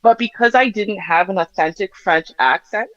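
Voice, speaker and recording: A woman's voice: mid-range voice, moderate pace, no room sound. Regular guest on a livestream: a chatty Canadian woman who pokes fun at herself, dry lo-fi audio. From Canada, Jamaican family. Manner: chatty, self-mocking, laughing often. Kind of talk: casual livestream chat.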